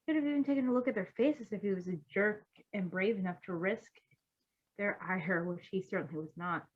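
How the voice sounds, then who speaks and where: A woman's voice: mid-range voice, brisk, narrow, tinny audio. A woman reading fan fiction aloud as an amateur narrator, expressively and informally. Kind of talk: expressive story narration with casual asides.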